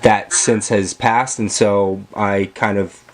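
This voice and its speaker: Rambling low-voiced man on a call. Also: fairly even intonation, slow. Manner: rambling, full of fillers and restarts. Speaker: vlogger and filmmaker. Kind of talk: casual chat on a video call. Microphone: camera mic.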